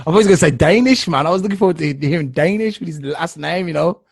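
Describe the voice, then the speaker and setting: A man's voice: a little high, gravelly, husky. A man hosting a podcast in casual chat, laughing often and cutting in. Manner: laughing, quick to interrupt. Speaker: podcast host.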